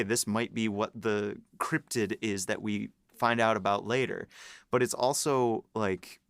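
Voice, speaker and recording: A man's voice: lowish voice, fairly bright tone, no room sound, studio quality. A man who writes for the series, chatting casually behind the scenes and rambling with many restarts and frequent laughs.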